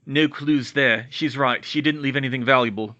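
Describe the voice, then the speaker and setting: A man's voice: mid-range voice, normal speed, pushed and bright, muffled recording, natural voice. A man voicing a dry, mocking grown man who mutters through a list in a matter-of-fact way.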